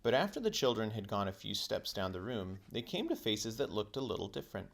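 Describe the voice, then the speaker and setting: A man reading a children's book aloud as a teacher would, in expressive storybook narration. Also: fairly low pitch, a little fast, vocal fry.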